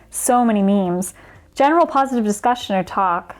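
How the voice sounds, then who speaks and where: A woman's voice: mid-range voice, animated, moderate pace. A woman reading out a personal testimony as a guest contributor. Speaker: guest reader of a written community response. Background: background music.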